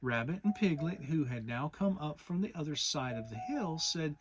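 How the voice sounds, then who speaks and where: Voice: mid-range voice, lively intonation, moderate pace, slight background noise. A narrator giving a dramatic reading of a children's audiobook.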